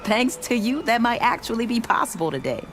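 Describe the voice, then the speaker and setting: Folksy quarry worker, medium pitch, plainspoken, rustic phrasing, video game dialogue. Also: sing-song, a little fast, a bit bright, soft background music. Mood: grateful, weary.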